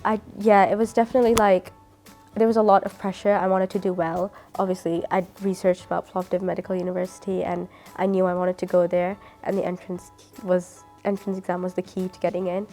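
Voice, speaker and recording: A woman's voice: lowish voice, level tone, brisk, warm tone, faint music behind. A woman from the UK, a dentistry student, chatting through her answers with many fillers. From United Kingdom. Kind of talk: interview answers.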